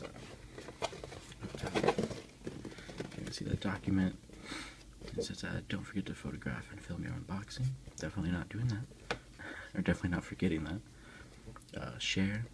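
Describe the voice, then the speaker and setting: Low gravelly guy doing quiet asmr unboxing chat, half whispering, noisy recording with clicks. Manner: hushed, often slipping into whispers. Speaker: unboxing video host. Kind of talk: soft-spoken ASMR, casual unboxing chat.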